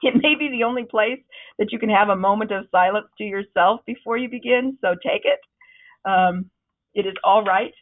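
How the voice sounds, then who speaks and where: A woman leading a webinar on presenting, with a loud, projecting voice that is starting to wear out, talking in a conversational, encouraging way with frequent ums. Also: medium pitch, moderate pace. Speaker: presentation trainer. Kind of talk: webinar talk. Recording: narrow, phone-like webinar audio.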